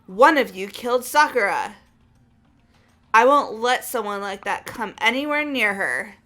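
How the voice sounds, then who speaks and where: A woman's voice: fairly high pitch, lively intonation, measured pace, pushed and bright. A woman voicing a stubborn, angry, grieving and loyal young woman in a fan-voiced visual novel game. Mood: angry, defiant.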